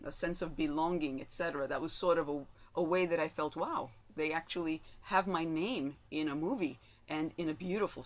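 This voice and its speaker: A woman moderating a panel discussion, whose first language was Spanish, talking with many fillers and a habit of ending points with "right?". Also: deep voice. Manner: frequent "um" and tag "right?".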